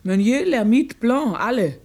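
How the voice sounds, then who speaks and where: A woman's voice: on the high side, animated, a little slow, dark tone, dry recording. A woman playing a defiant old peasant woman in a German radio drama, curt and insistent as she guards her own ground. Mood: stubborn, protesting. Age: older.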